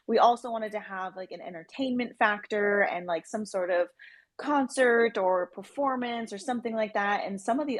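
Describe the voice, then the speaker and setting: A woman's voice: mid-range voice, no room sound. A woman speaking as an interview guest, explaining things in a casual, promotional way with plenty of "like" in her chatty delivery. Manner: chatty, explanatory, peppers speech with "like". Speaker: interview guest, project team member.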